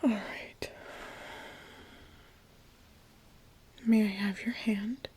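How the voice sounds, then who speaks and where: A woman playing a gentle, patient trapped god in an ASMR roleplay, speaking in a breathy, often whispered voice with careful reassurance. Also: medium pitch, steady tone. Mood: soothing, guiding. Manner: slow, careful, reassuring explaining. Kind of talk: ASMR roleplay, scripted intimate monologue.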